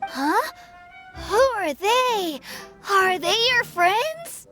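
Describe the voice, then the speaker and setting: A woman's voice: very high-pitched, sing-song, extremely slow, over music, heavily acted. A woman voicing an eager, innocent little boy in a video game, childlike and pleading. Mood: curious, concerned. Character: eager, innocent little boy who adores his uncle.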